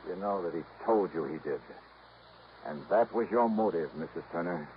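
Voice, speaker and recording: Actor as a low-voiced hard-boiled radio detective. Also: male voice, close to an everyday voice. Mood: pressing, wry. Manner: brisk, wry, pointed questioning. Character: hard-boiled male freelance insurance investigator, wry, relentless. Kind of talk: 1950s radio detective drama acting. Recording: dull, noisy old radio recording.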